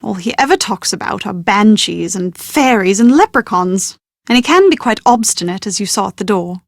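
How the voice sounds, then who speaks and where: An actress playing a well-bred, proud young British bride-to-be in a video game, with prim, articulate, genteel phrasing and exasperated confidence. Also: female voice, mid-range voice, lively intonation, normal speed, dry recording, clean studio sound. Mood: mildly disdainful. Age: young adult. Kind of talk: scripted video game dialogue.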